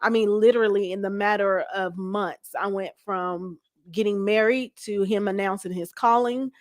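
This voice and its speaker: A woman's voice: mid-range voice, fairly even intonation, moderate pace, dry recording. Brief: American woman, forties, candid conversational guest, tells stories with quoted self-talk, dry sound. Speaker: guest, pastor's wife. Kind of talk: casual interview chat.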